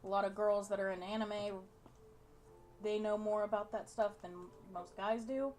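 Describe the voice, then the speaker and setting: A woman's voice: medium pitch, steady tone, moderate pace, a little mellow. A young woman hosting a gaming video, chatting casually and reading game text aloud.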